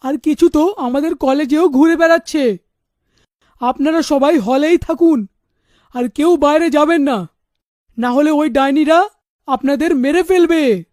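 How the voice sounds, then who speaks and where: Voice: high-pitched, a little slow, pauses often, a little mellow, no room sound, clean studio sound. An actor playing an anxious young male college student in a cartoon, speaking urgently and emphatically. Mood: urgent warning. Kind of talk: animated story dialogue.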